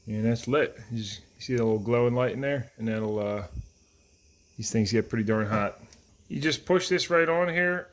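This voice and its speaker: A man's voice: medium pitch, moderate pace. A man giving a casual, plainspoken how-to explanation as the host of a hands-on repair tutorial. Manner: plainspoken, informal.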